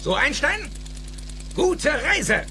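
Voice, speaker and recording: An actor playing an excitable, eccentric older scientist in a German audio drama, theatrical and emphatic, with precise technical phrasing. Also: male voice, very high voice, extremely slow, slight background noise, heavily acted. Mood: eager, commanding.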